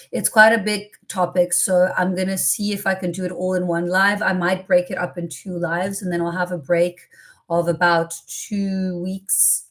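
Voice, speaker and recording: An Italian woman with a British-schooled English accent and a slight American twang, chatting casually on a spiritual livestream and chanting flowing syllables. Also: fairly low pitch. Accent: British-educated English with a slight American twang. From Italy. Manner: chatty and relaxed, many fillers, chants flowing syllable strings. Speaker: spiritual channeler hosting a livestream.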